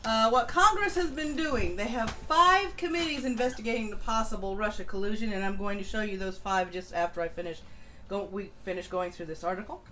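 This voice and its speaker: A woman's voice: medium pitch, a little fast, pushed and bright. A woman hosting a political livestream, reading through news with casual, opinionated commentary. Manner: informal, opinionated, swears casually. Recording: noticeable background noise.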